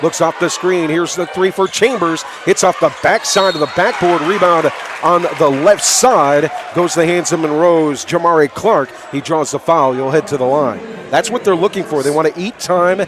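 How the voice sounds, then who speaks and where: Male, radio basketball announcer, slightly high voice, clipped excitable play-by-play, noisy gym with crowd. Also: moderate pace. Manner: excitable, raising voice on big plays. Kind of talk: live radio sports commentary in clipped phrases. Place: indoor high school gymnasium. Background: crowd and bouncing basketball.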